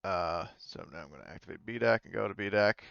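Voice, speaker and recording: A man with a clean, smooth voice at a middle pitch leads a tabletop game as game master. He talks casually and tentatively, in a dry but slightly dulled recording. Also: fast, fairly bright tone, no room sound, highs cut off. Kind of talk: improvised game narration and casual table talk.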